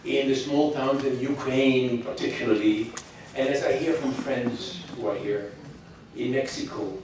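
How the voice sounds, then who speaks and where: Older man speaking haltingly in a hall. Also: medium pitch, darkish tone, slight background noise. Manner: halting, many restarts, opinionated. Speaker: guest speaker. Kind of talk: public talk with audience questions. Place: hall with audience. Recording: echoey hall from far off.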